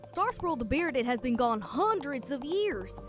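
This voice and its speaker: A woman's voice: high voice, big pitch swings, unhurried. A woman playing a bold, skeptical, adventurous pony in a cartoon comic dub. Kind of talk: fan comic dub acting. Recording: faint music behind.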